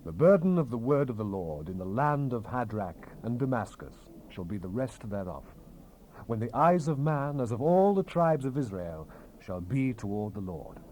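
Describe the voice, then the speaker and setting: Guy doing dramatic old king james bible narration, solemn n declamatory, really animated pitch, bit of cassette hiss. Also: moderate pace, rough recording. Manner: solemn, declamatory, theatrical delivery. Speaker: scripture narrator. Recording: hissy 1970s cassette rip.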